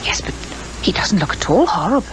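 An English actress playing a timid, fretful, admiring secretary to a detective in a 1950s radio drama. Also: female voice, fairly low pitch, very animated, brisk, background noise. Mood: anxious, curious. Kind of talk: 1950s British radio acting. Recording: 1950s radio recording with music behind.

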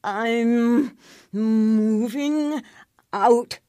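Earnest, slow-speaking middle-aged wife. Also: female voice, a little high, dark tone, no room sound, studio quality. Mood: strained, halting. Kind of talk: radio drama narration and dialogue.

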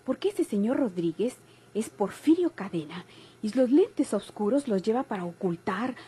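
An actress playing an excitable, nosy young daughter with a Mexican accent in an old radio drama. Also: female voice, medium pitch, normal speed, slightly rough. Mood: breathless, confiding. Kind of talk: golden-age Mexican radio drama acting.

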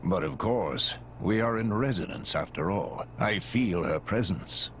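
Loyal noble tiger, kinda low voice, very proper, expressive, game cutscene with music. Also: male voice, slight background noise. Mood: calm, courteous. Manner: formal, measured, deferential. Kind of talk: video game cutscene acting.

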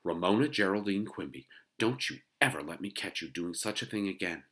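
A man's voice: sits low, expressive, moderate pace, a bit bright, slightly rough, full character voice. A man voicing a strict, exasperated mother of a young girl in a children's storybook, firm and scolding. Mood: angry.

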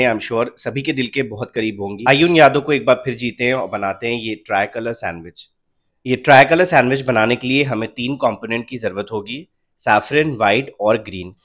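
A young man calmly narrating a home cooking recipe step by step as the channel's host. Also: medium pitch. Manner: calm, step-by-step instructional.

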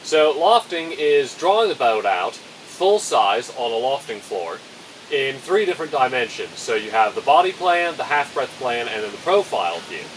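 Young man explaining calmly, slightly distant. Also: mid-range voice, moderate pace, a little hiss or hum. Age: young adult. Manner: clear, methodical, dryly humorous. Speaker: boatbuilding vlogger. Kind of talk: explaining a woodworking build to camera. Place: plastic-sheeted polytunnel workshop, indoors.

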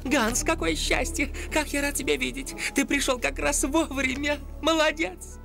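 Woman as a high, cheeky little cartoon critter. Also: fairly even intonation, normal speed, gravelly, over music. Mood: excited. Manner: feisty, boastful. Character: plucky, cheeky small male hamster-like sidekick. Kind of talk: dubbed cartoon acting.

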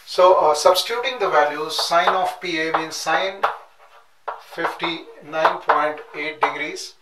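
A middle-aged man teaching at a chalkboard, working through calculations step by step and repeating numbers slowly as he writes. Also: a little high, recorded from a distance. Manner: methodical, repeats numbers slowly while writing. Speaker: nautical science instructor. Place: classroom at a chalkboard. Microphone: room mic at a distance, back often turned. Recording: echoey room, off-mic, clear noise.

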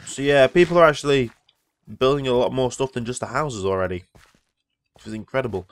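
A man's voice: mid-range voice, brisk. A man who is ill, rambling casually through gameplay commentary and easily impressed by what he sees. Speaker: Minecraft let's player.

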